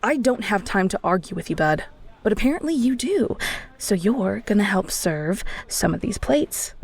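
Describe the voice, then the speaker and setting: A woman's voice: mid-range voice, sing-song, normal speed, a little mellow, breathy edge. A woman playing a blunt, bossy restaurant chef in an audio roleplay, speaking straight to the listener. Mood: stern, exasperated. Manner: stern, exasperated, blunt. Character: bossy Filipino-American restaurant chef, secretly a ghoul.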